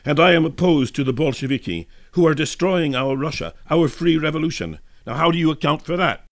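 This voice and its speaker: A man's voice: fairly high pitch, expressive, fairly quick, dry recording, clean studio sound, voice pushed up. A man voicing a self-important, insistent male student in a dramatic radio reading. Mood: indignant, pompous. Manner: pompous, insistent.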